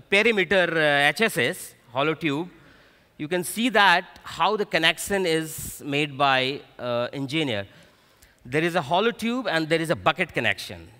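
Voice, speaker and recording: A middle-aged man with an Indian accent giving a halting, explanatory technical presentation at a conference. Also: on the high side, sharp tone. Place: stage of a large conference hall. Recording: echoey large hall PA sound.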